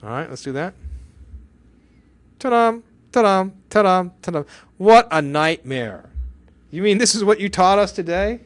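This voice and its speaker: A man giving a casual software tutorial as an instructor, chatty, with exclamations and frequent rhetorical check-in questions. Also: sits high, lively intonation, a little slow, long pauses, no echo.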